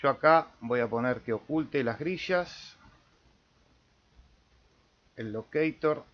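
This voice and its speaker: A man with an Argentine accent giving a casual step-by-step software tutorial as host, with small check-in tags. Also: medium pitch, takes it slowly. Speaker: amateur radio tutorial host. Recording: dull lo-fi voice-over recording.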